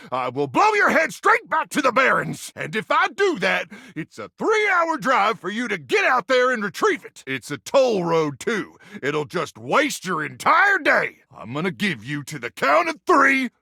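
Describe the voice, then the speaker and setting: A frazzled, gung-ho soldier microwave from a video game. The voice is raspy and high for a man, swinging wildly in pitch. It blusters out military talk with awkward, paranoid intensity. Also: pushed and bright, dry recording, studio quality, exaggerated voice. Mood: frantic, threatening. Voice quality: gravelly, raspy. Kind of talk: animated video game voice acting.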